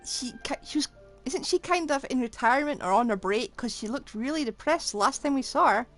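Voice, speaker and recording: A woman's voice: high voice, expressive, fairly quick, a bit bright. A woman streamer giving casual, offhand live commentary and reactions while playing a game. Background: game music.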